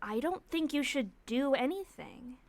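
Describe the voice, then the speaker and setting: A woman plays a loyal, quick-witted teenage girl in a period audio drama. Her voice is high and expressive, with an earnest, caring manner, heard very dry. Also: no room sound. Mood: gently cautioning.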